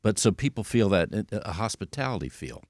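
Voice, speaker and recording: Radio host guy, kinda low voice, chatty, keeps the guest talking, dry studio mic. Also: big pitch swings, fairly quick, no room sound. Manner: conversational, prompting the guest. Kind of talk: radio talk show interviewing.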